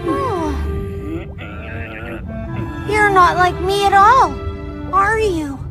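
Squeaky little earnest cartoon animal. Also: female voice, expressive, drawn-out words, exaggerated voice. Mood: gentle. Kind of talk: animated cartoon dub acting. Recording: loud music behind the voice.

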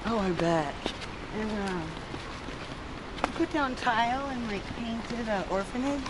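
A woman's voice: on the high side, measured pace. A woman talking in a friendly, upbeat way with a stranger while walking outdoors. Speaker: fellow tourist. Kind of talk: casual conversation. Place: outdoors on a forest trail. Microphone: picked up by someone else's handheld camera. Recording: outdoor camera audio with steady background noise.

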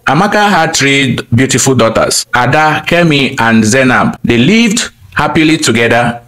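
A man with a mid-pitched voice narrates a folktale as a storyteller. He tells it in plain, deliberate phrases, as a scripted narration. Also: lively intonation, fairly bright tone.

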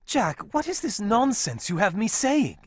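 A man's voice: high-pitched, sing-song, measured pace, breathy edge, dry recording, studio quality, exaggerated voice. An actor playing an earnest, proper young swashbuckling man with formal phrasing in a video game. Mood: puzzled. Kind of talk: video game cutscene acting.

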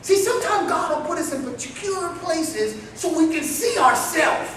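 High-voiced animated preacher, echoey room. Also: male voice, normal speed, far from the mic, slight background noise. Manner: animated, acts out quotes, gestures widely while pacing. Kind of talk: storytelling sermon. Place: small indoor meeting room. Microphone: far camera.

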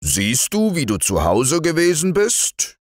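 A man's voice: fairly high pitch, huge pitch swings, measured pace, dry recording, studio quality, voice pushed up, exaggerated voice. A man voicing a grumpy, stout older male bear in a storybook reading, grumbling and a little sly.

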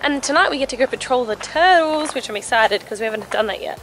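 A woman's voice: a little high, lively intonation, quick pace, a bit bright, slight background noise, low-quality recording. A young woman in her twenties, chatting playfully to a handheld camera about her day. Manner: bubbly and playful, giggly. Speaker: travel vlogger. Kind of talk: casual vlog chat. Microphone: handheld camera at arm's length.

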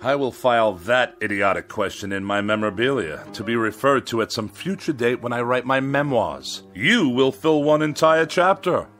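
An actor playing a pompous, irascible writer who despises machines, speaking in wordy, sneering sarcasm in a radio drama. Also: male voice, mid-range voice, expressive, moderate pace, few pauses, fairly bright tone, soft background music, natural voice. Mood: scornful.

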